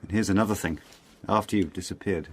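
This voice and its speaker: Low, husky British intelligence officer. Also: male voice. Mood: sombre, reflective. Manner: measured, literate, understated storytelling. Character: reflective, guarded British intelligence officer recounting his past. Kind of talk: radio drama narration and dialogue.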